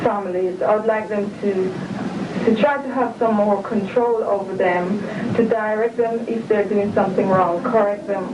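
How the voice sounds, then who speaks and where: A woman answering interview questions in a calm, earnest way, speaking about family and faith. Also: mid-range voice, a little slow, dull audio. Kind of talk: videotaped personal statement. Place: room inside a residential compound building. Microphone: camcorder microphone some distance away. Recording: distant, echoey, hissy old videotape.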